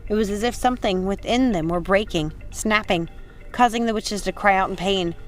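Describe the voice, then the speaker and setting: A woman's voice: medium pitch, normal speed, faint music behind. A woman reading an audiobook as a dramatic, suspenseful narrator. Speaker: audiobook reader. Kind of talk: dramatic scripted story narration.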